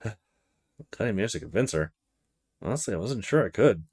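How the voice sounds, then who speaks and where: A man's voice: lowish voice, animated, moderate pace, darkish tone, husky, voice pushed down. A man voicing a friendly, concerned young male student in a visual novel, tentative and polite. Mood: relieved. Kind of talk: visual novel character read-aloud.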